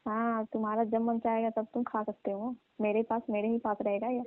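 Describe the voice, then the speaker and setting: A woman plays a coaxing village aunt in a comic phone-call skit. Her voice is on the high side, colloquial and teasing, and pushy in her flirting. The sound is thin and dry, like a recorded phone call. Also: flat delivery, fairly quick, no room sound, lightly acted.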